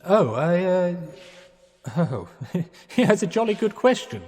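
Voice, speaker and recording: A man's voice: high-pitched, sing-song, takes it slowly, a little mellow, heavily acted. An actor playing a jittery, genteel young Englishman of the 1920s in a radio play, dithering politely with a plummy English accent. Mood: sheepish. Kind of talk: radio theatre acting. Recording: long echoing hall, music behind.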